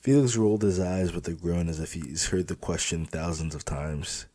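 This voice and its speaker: A man's voice: low-pitched, fairly even intonation, moderate pace, warm tone. A man reading scripted first-person fiction as an audiobook narrator.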